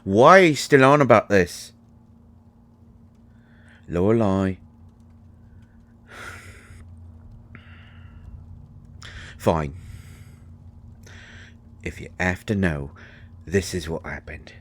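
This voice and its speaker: Man acting a deep, slow-spoken grieving fairy husband. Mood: irritated, defensive. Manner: halting, emotional, swinging from snappish outbursts to quiet murmurs. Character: grieving fairy husband, gruff, defensive and wounded. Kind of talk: roleplay audio drama monologue.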